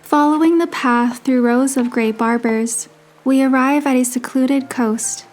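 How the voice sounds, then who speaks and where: Woman as high-voiced gentle young romantic heroine. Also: fairly even intonation, measured pace. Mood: gentle narration. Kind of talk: scripted first-person narration and dialogue. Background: background music with guitar.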